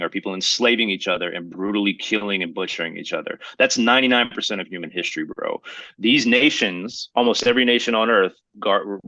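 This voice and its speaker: Bright, low-voiced American man chatting. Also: no echo, poor audio. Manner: animated slangy talk, often saying "bro". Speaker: podcast co-host. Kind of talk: casual podcast chat.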